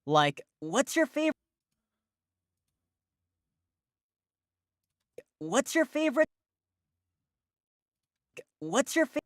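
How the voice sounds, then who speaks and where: A woman's voice: high voice, sing-song, slow, no echo, exaggerated voice. Woman as chirpy, chatty cartoon character for young kids: hugely swooping, sing-song delivery, dry studio sound. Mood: curious, playful. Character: cheerful, chatty children's cartoon character asking simple questions. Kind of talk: children's cartoon dialogue.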